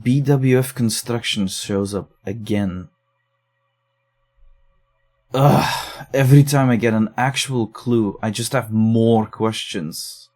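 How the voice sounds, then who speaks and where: An actor playing a puzzled, frustrated male case researcher, haltingly thinking aloud in an audio drama monologue. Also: fairly low pitch, a little mellow.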